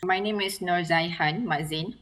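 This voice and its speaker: Measured woman lecturer. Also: fairly low pitch, fairly bright tone. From Malaysia. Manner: measured, searching for words. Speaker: interior design lecturer. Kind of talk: interview answers.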